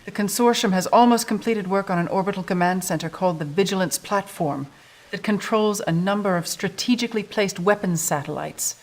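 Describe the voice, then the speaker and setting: A woman's voice: mid-range voice, close to an everyday voice. A woman in her thirties playing a stern, businesslike resistance officer, giving a serious briefing in a video game cutscene. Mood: grave, businesslike. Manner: serious, clipped briefing delivery.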